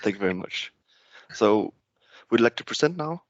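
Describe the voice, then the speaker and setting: A man's voice: lowish voice, expressive, moderate pace, no room sound. Danish man hosting over a headset. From Denmark. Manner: friendly, hesitant, with many fillers. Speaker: webinar host. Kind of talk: hosting a webinar. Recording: dry, muffled video call audio.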